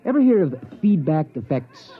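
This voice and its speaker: An actor playing an earnest, pedantic sociology professor who lectures hesitantly in a 1950s radio drama. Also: male voice, a little high, big pitch swings, moderate pace, breathy edge, a faint crowd. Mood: earnest, explaining. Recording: dull 1950s radio recording.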